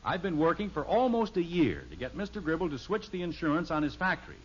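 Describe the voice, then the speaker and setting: A man's voice: a little high, big pitch swings, fast, slightly rough. An actor playing a strict, exasperated middle-aged father with an American accent, lecturing and commanding in 1950s radio sitcom style. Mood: blustering. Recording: muffled vintage radio recording.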